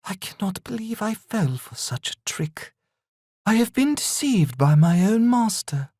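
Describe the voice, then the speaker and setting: A man voicing a cold, horned female demon in a story reading, with a breathy, hushed, menacing manner. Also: medium pitch, huge pitch swings, takes it slowly, no room sound, clean studio sound, heavily acted. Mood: bitter, defeated. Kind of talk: audiobook reading.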